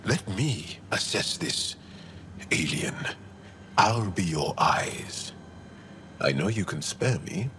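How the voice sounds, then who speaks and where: An actor playing a solemn, blunt male alien envoy in a video game, speaking in a measured, formal way. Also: low-pitched, lively intonation, a bit bright, slight background noise, heavily acted. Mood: resolute. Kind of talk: video game dialogue acting.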